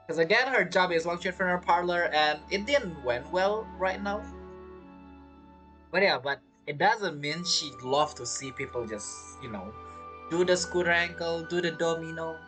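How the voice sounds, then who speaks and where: A woman's voice: low-pitched, fairly bright tone. A woman giving casual, reactive commentary as she streams a video game. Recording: game music behind the voice.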